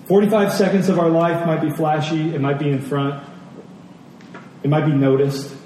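A man's voice: a little high, steady tone, normal speed, a little mellow. A man preaching in a conversational teaching style, earnest, reading scripture, asking rhetorical questions and repeating phrases for emphasis. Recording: very echoey hall, distant mic, faint noise.

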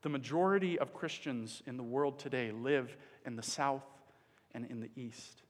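Man preaching in a reverberant hall. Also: mid-range voice. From Dominican Republic. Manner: earnest, pauses with fillers. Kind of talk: sermon.

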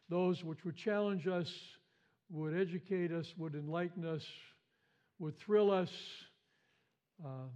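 A man's voice: high voice, a little mellow, lo-fi sound. A man preaching an Easter sermon as pastor, with a big voice, emphatic and repeating his phrases. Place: church sanctuary.

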